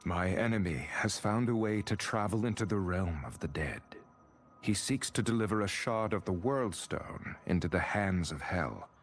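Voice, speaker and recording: A man's voice: sits low, animated, normal speed, slight background noise. A male actor playing a grim, resolute wandering warrior in a fantasy game, with a terse, brooding delivery. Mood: grim, determined. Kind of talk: scripted fantasy game voice acting.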